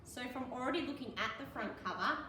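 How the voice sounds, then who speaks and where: Bright-voiced woman teacher reading to children. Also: high-pitched, lively intonation, quick pace, a little hiss or hum. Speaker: primary school teacher. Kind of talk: read-aloud lesson for young children.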